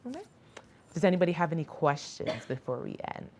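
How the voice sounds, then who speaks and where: A woman's voice: low-pitched, sing-song, a little fast, a little mellow, slight background noise. A woman giving a conference presentation about a crisis outreach program, speaking hesitantly with frequent ums and restarts. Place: hospital conference room.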